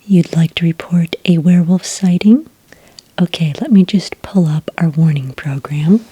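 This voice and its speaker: A woman playing a calm, polite agent who takes sighting reports in a soft-spoken ASMR roleplay, breathy and whispery, with gentle, patient questioning. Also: lowish voice, normal speed, dry recording. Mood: politely inquiring.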